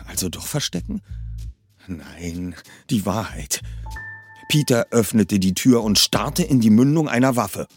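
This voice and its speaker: A man's voice: lowish voice, expressive, unhurried, husky, soft background music. A man reading a novel aloud expressively, shifting his voice for the characters. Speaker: reader of a novel.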